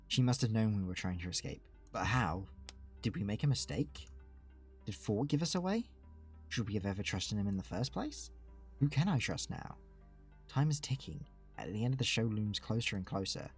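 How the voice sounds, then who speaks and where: A man's voice: on the low side, breathy edge. A man reading a game aloud as a streamer, giving dramatic first-person narration of a visual novel.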